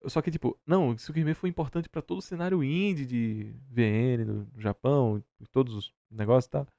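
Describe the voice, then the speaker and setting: A man's voice: medium pitch, brisk, vocal fry, warm tone, dry recording. A Brazilian man co-hosting a reaction stream, arguing casually in a blunt, mocking, sweary way. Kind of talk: casual argumentative commentary.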